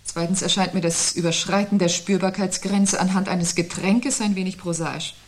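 A woman acting a sharp-witted, contrary wife in a 1970s radio play, phrasing things precisely and with dry irony. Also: lowish voice, fairly even intonation, normal speed, slight background noise, natural voice. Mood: dry, ironic.

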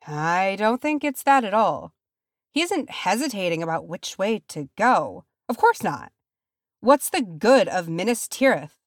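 Woman doing loyal little hobbit man, raised pitch, deferential, rustic, earnest, fantasy audiobook. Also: big pitch swings, a little slow, no room sound, studio quality. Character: humble, loyal male hobbit servant, earnest and fretful.

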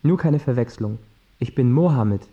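A man's voice: mid-range voice, lively intonation, moderate pace, breathy edge, narrow, tinny audio. An actor playing a guarded, cheeky teenage boy in a radio drama, laconic and evasive.

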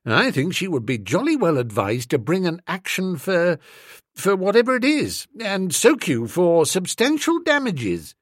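A male reader plays a young English toff, foppish and breezy, in a comic storybook. His posh British voice rides high and leaps about with blustering emphasis, close and dry. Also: huge pitch swings, unhurried, dry recording, heavily acted. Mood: stern, indignant. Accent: British upper-class.

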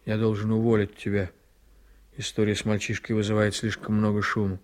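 An actor playing a weary, guarded political candidate and war veteran in a radio play, measured but emphatic. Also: male voice, fairly low pitch, monotone, lightly acted. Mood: grave, firm. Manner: measured, reluctant, emphatic when pressed.

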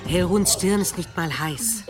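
An actress playing a level-headed village healer in a radio drama, direct, commanding yet soothing. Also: female voice, on the low side, measured pace, over music, lightly acted. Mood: firm, stern. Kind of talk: German radio drama acting.

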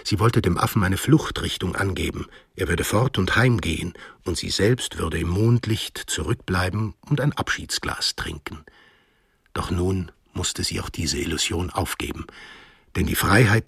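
A man narrating a literary radio drama in German as a grave, measured storyteller. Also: sits low, gravelly, a bit bright, slightly rough, dry recording, studio quality, heavily acted. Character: solemn storyteller narrating a literary eco-thriller.

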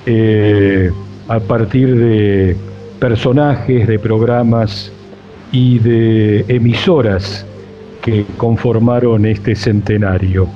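Conductor de radio argentino, muy pausado. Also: male voice, lowish voice, fairly even intonation. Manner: measured, elaborate phrasing, short pauses. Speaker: radio host. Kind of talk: reflective radio interview introduction. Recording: dull lo-fi sound, faint music behind.

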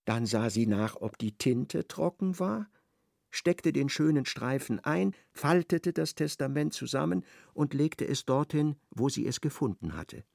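A man's voice: medium pitch, lively intonation, unhurried, gravelly, a little mellow, no room sound, clean studio sound. A man narrating a literary radio drama. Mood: measured.

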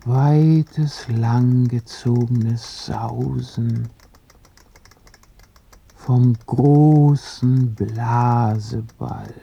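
A woman narrating a poetic radio drama in a slow, hushed and reflective way. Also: a bass voice, fairly even intonation, creaky, husky.

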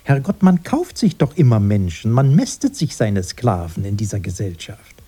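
A grown-up fairy-tale brother, querulous and full of his own importance. The voice is dark and husky, swinging widely in pitch as he declaims and corrects in radio-play style. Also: big pitch swings, normal speed. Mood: bitter. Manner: emphatic, pedantic, declaiming. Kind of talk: German radio drama acting.

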